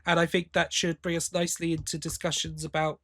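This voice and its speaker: A man's voice: on the high side, completely flat delivery, fairly quick, bright, forceful tone. A man co-hosting a podcast, chatting casually and giving opinions with enthusiasm and frequent restarts. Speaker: podcast co-host. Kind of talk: casual chat reviewing audio drama.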